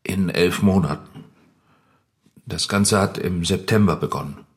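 A man's voice: deep voice, measured pace. An actor playing an evasive married man in an affair, giving terse, hesitant answers in a German radio drama. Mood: hesitant, subdued.

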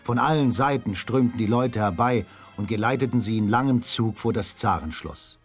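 A man giving measured, scripted storytelling narration for a children's radio drama. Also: mid-range voice, faint music behind.

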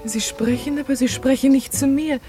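An actress playing a devoted, dreamy young secretary in a German radio drama, speaking softly and breathily with hushed reverence for her master. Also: high-pitched, fairly even intonation, moderate pace, over music, lightly acted. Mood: wondering, tender. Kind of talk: German radio drama acting, literary and intimate.

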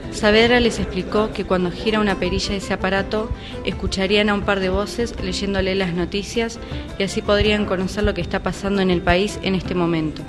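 A woman's voice: mid-range voice, fairly even intonation, fairly quick, over music. A young woman reading scripted narration in a student radio play. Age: teenager or young adult.

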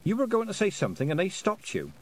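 A man's voice: high-pitched, wildly swooping pitch, quick pace, voice pushed up, full character voice, poor audio. A man voicing an earnest, stubborn young girl in a storybook, direct and questioning. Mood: questioning, stiff.

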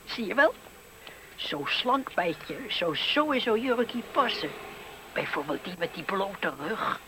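A man's voice: high voice, sing-song, slow, full character voice. An actor playing a cheeky, chuckling old man who gossips on a bench in a radio play. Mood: curious, amused. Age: older. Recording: noisy 1970s radio play recording.

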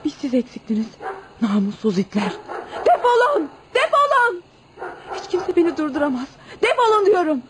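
A woman's voice: sits high, sing-song, moderate pace. A woman playing an emotional, stubborn young village daughter who pleads and commands in a radio play. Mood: furious, defiant. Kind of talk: Turkish radio theatre acting. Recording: noisy old radio play recording.

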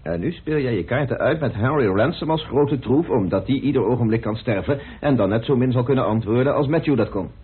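A methodical detective confronting his suspects in a radio drama. His very husky voice moves freely in pitch and presses on insistently with deliberate pauses, on a muffled, phone-like old recording. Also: animated. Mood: accusing, deliberate. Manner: deliberate, accusing, theatrical pauses. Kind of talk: radio play acting in Dutch.

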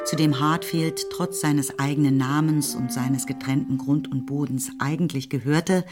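A woman narrator reading literary prose for a radio play in a measured, expressive storytelling manner. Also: sits low. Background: faint music.

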